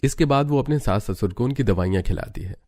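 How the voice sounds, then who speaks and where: A man's voice: mid-range voice, lively intonation, brisk, warm tone. A man narrating a scripted cartoon family story as the storyteller. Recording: music behind the voice.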